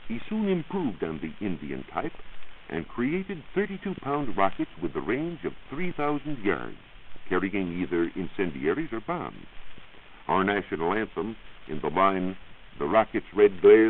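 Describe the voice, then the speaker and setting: A man's voice: lowish voice, very animated, measured pace. A man with an American accent explaining as a science reporter in scripted 1940s radio style. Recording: tinny, lightly hissy 1940s radio recording.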